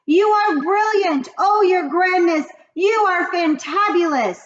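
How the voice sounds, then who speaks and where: A woman's voice: extremely high pitch, takes it slowly, pitched above the performer's own voice. A woman voicing a crowd of overexcited, fawning female witches who squeal and chant back at their leader. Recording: dull lo-fi recording.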